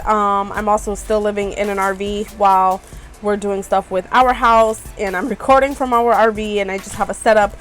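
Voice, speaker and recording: A woman beauty vlogger with a stuffed-up, congested voice, chatting casually and rambling with lots of ums while she shows her purchases. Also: mid-range voice. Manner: chatty, rambling, many ums and "you guys". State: stuffed up from allergies. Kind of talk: casual haul chat. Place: small RV room.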